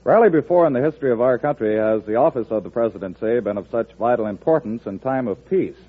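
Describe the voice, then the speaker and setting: Husky American 1940s radio announcer, a man. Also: medium pitch, expressive, fast. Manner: earnest, formal. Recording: husky, narrow-band 1940s radio recording.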